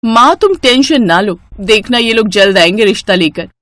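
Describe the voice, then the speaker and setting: A woman plays a sharp-tongued young bride-to-be from a cartoon story. She is cheeky and bossy, with very lively pitch. The sound is dry. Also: normal speed, no echo, natural voice.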